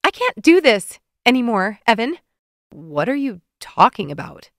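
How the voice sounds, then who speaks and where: A woman's voice: high voice, expressive, moderate pace, a bit bright, no echo, studio quality, pitched above the performer's own voice. A woman voicing a heartbroken, flustered young woman who blurts out her feelings in tearful outbursts. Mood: upset.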